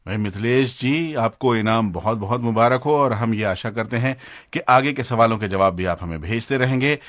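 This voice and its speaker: A man's voice: mid-range voice, normal speed, a bit bright, no echo, studio quality. A man hosting a radio show, presenting from a script and addressing the listeners directly. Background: music.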